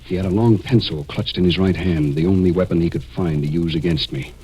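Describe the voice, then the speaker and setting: An American actor playing a cold, scheming murderer who narrates deliberately and suspensefully in a 1940s radio mystery. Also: male voice, sits low, mellow, slight background noise, close to an everyday voice, rough recording. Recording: vintage 1940s radio recording, music behind.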